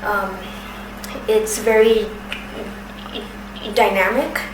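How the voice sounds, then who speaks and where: A woman in her thirties who stutters, speaking slowly with pauses and restarts in a personal testimony. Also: medium pitch, fairly even intonation. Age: 30s. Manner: stutters, with repeated sounds and restarts; slow, careful, with pauses. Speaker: documentary submitter. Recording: roomy, distant from the mic, noisy background.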